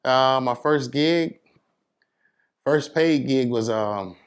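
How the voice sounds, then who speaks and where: A man's voice: mid-range voice, slow pace, clear, resonant tone. A middle-aged American man chatting casually as an interview guest, telling his story in a laid-back, plain-spoken way.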